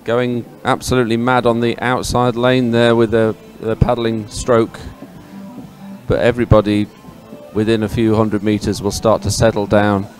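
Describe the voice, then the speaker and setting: Low-voiced man commentating over music. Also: little pitch movement, normal speed. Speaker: sports commentator. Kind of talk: live race commentary and chat. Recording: music behind the voice.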